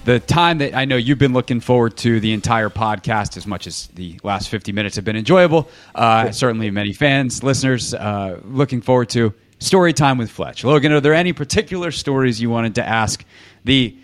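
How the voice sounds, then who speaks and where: A man's voice: medium pitch, brisk, dry recording. A man hosting a sports podcast in a conversational style.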